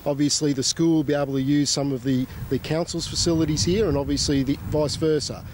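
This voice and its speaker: An Australian man giving spontaneous, somewhat halting answers as an official in an interview. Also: on the high side, fairly even intonation, darkish tone, slight background noise.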